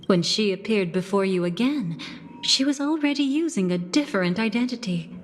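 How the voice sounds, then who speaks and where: A woman voicing a poised, knowing female character who narrates a video game story in a measured storytelling manner. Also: medium pitch, lively intonation, natural voice. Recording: long reverb, music behind the voice. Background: game music.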